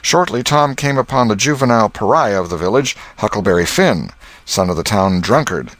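A man narrates an audiobook in a fairly low voice with very lively intonation. The sound is dry and close, suited to scripted literary storytelling. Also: fairly quick, dry recording.

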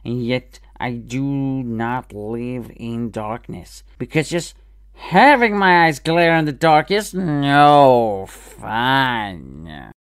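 A performer voicing a smug, all-seeing demon with many eyes who boasts in a measured way. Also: mid-range voice, expressive, clear, resonant tone, dry recording, clean studio sound. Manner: measured, self-satisfied boasting.

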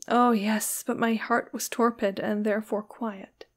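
A lonely, tender young woman from a gothic storybook , played by a woman reading aloud. Her voice is high and plaintive, pleading in archaic, anguished phrases. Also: flat delivery, a little slow, close to an everyday voice. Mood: tender sorrow. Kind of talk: dramatic literary audiobook reading.

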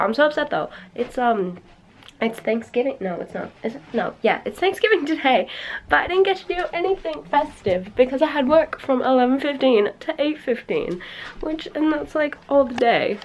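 Young woman, hoarse deep voice, giggly rambling vlog chat, camera at arm's length, faint background hiss. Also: expressive, normal speed. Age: young adult. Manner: giggly, rambling, many likes and ums. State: hoarse, voice deepened by a cold. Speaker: vlogger. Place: bedroom at home, then staff room at work. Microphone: handheld camera at arm's length.

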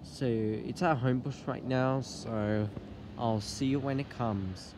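A man's voice: medium pitch, steady tone, slow. A man vlogging as a trainspotter, chatting casually by the tracks and calling out train numbers. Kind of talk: casual trainspotting vlog narration. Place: outdoors by railway tracks and station platform. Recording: street and train noise behind.

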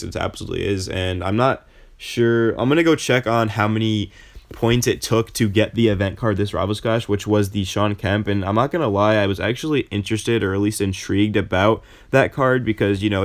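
Rambling young man, low voice. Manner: rambling, many fillers and restarts. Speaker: gaming YouTuber. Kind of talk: casual gameplay commentary.

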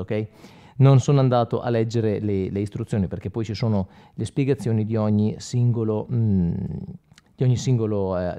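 A man's voice: mid-range voice, dark tone. A man in his forties demonstrating gear as a guitar instructor, speaking conversationally straight to the viewer and checking in with short questions. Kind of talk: informal tutorial, explaining while demonstrating. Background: electric guitar playing with effects.

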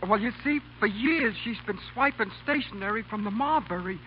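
High-pitched, hesitant little man. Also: animated, normal speed. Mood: anxious, earnest. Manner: hesitant, stammering. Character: timid, earnest down-and-out male street character. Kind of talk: 1930s radio acting. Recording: muffled, noisy 1930s radio recording.

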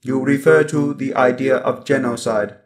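A man voicing a coldly superior, menacing robot overlord in a roleplay monologue, with deliberate delivery and stuttering glitches on words. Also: mid-range voice, steady tone, natural voice. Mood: calm, superior.

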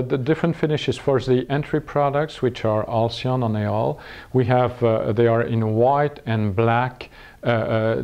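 Mellow, halting Frenchman in his fifties. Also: mid-range voice, lo-fi sound. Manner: halting, searching for words. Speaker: company executive presenting products. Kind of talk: product interview, explaining. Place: small indoor showroom room with curtains.

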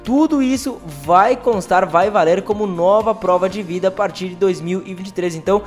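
High-voiced Brazilian man hosting news. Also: moderate pace. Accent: Brazilian Portuguese. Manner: addresses viewers directly, repetitive filler "aí". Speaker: channel host. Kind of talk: news commentary on pension benefits. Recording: faint background music.

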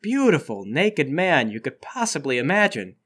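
A man's voice: on the high side, big pitch swings, moderate pace, a bit bright. A man narrating a tabletop role-playing game as game master.

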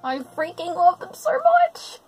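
A woman's voice: extremely high pitch, sing-song, unhurried, faint music behind. A woman doing live reaction commentary on a cartoon, gushing and talking back to the characters. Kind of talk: live blind reaction commentary to an animated series.

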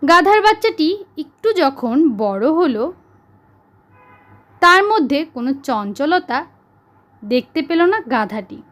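Slow, high-voiced woman storyteller. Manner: slow, deliberate, expressive storytelling. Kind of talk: children's story narration.

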